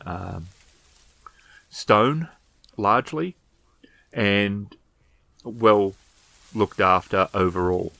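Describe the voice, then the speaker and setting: Low-voiced man narrating a tabletop game. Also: a bit bright, no room sound. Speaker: game master, storyteller. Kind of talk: descriptive tabletop roleplay narration.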